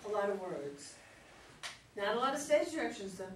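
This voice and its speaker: A woman's voice: mid-range voice, very animated, normal speed, very noisy. A woman leading an informal group critique, recorded in a room with other people around. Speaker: discussion leader at a play reading. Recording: roomy, distant mic, group chatter behind.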